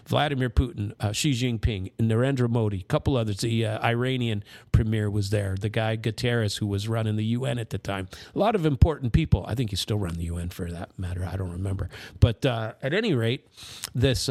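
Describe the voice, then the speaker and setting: A man's voice: mid-range voice, expressive, a little fast, a little mellow, dry recording, clean studio sound. An American man giving informal explanatory commentary, rambling into side stories and checking in with "right?" and "you know". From United States.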